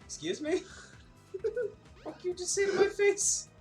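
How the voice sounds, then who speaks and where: A woman's voice: extremely high pitch, sing-song, unhurried, darkish tone. A woman in casual banter as a guest commentator, sardonic and sweary, with mock outrage. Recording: music playing behind the voice. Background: music.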